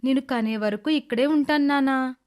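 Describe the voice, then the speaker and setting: A woman's voice: sits high, moderate pace, close to an everyday voice. A woman voicing a meek, respectful young pregnant stepdaughter in a dramatized moral story. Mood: affectionate. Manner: polite, pleading.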